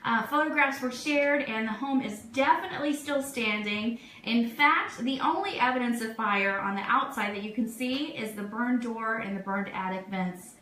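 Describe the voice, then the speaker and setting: A woman's voice: on the high side, normal speed, hardly any pauses, a bit bright. A woman hosting a casual live webcast, chatting informally through news for her listeners. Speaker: radio show host. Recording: roomy echo, faint background noise.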